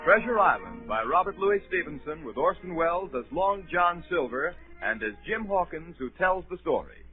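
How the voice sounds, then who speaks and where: A man's voice: on the high side, big pitch swings, moderate pace, few pauses. A man announcing a 1930s radio drama in a formal, declamatory way. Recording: muffled old radio broadcast with music.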